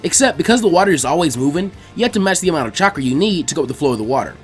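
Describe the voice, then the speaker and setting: A man reading fan fiction aloud as expressive, audiobook-style narration. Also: fairly high pitch, very fast, soft background music.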